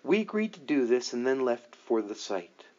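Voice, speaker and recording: A man narrating a book aloud in a deliberate, measured storytelling manner with dramatic emphasis. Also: mid-range voice, very animated, a little mellow, muffled recording. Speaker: audiobook reader.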